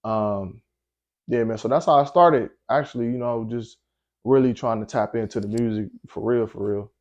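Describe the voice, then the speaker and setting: A young man from Milwaukee, a rapper guest in a casual interview, telling stories in a relaxed way with lots of slang and fillers. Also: moderate pace, highs cut off. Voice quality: deep voice. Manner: laid-back storytelling with slang and frequent fillers.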